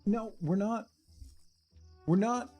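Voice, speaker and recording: A man's voice: sits high, takes it slowly, darkish tone. A middle-aged man casually chatting on a game livestream in a rambling, joking, mock-scolding way. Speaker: game streamer. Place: indoors at home. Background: faint music.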